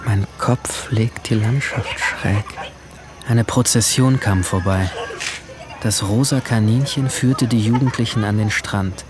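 A man's voice: on the low side, slow, noisy background. A man playing a withdrawn, brooding 17-year-old boy who narrates his summer in a radio drama, introspective and terse. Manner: introspective, terse, awkward in dialogue. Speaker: narrator and main character.

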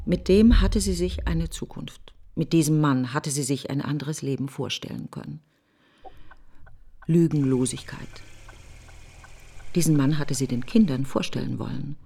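An actress playing a bitter, grieving woman in a literary radio play monologue, speaking in clipped, intense fragments with a breathy husky voice. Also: extremely low pitch, mellow, full character voice. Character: bitter, grieving woman reflecting on her dead best friend.

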